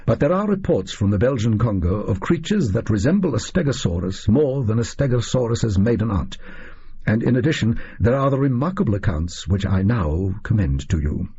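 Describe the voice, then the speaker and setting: A man voicing a brisk, eccentric, self-assured Victorian detective with an English accent and a pedantic, emphatic manner in an audio story. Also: on the low side, expressive, warm tone, husky, dull audio, heavily acted. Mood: lecturing, eager.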